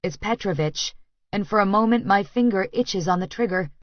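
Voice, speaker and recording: A woman's voice: medium pitch, normal speed, no room sound, muffled recording. A woman reading first-person fiction as a scripted audiobook narration.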